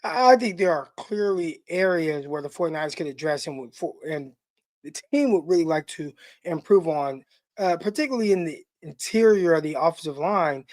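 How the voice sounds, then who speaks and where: A man's voice: a little high, darkish tone, no echo. A man co-hosting a live football podcast, giving opinionated and enthusiastic takes in a loose conversational way. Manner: enthusiastic, opinionated, quick asides. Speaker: co-host, former pro football player. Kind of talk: live podcast draft talk.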